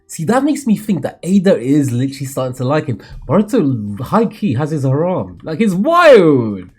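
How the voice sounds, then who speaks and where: A man hosting a manga read-along, giving slangy, emphatic and expressive fan commentary. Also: fairly high pitch, normal speed, breathy edge, soft background music.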